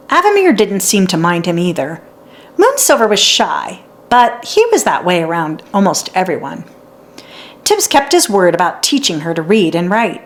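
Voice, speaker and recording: A woman narrating a children's story in an expressive read-aloud style for young listeners. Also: mid-range voice. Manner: acts out dialogue and sounds out letters.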